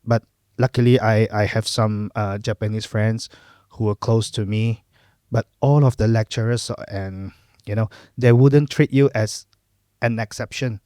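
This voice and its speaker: A middle-aged Malaysian man whose first language is Cantonese, chatting in a relaxed, reflective way as a podcast guest. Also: on the low side, a little slow, no echo, clean studio sound. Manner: relaxed, reflective, lots of fillers and "lah" particles. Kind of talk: casual interview chat.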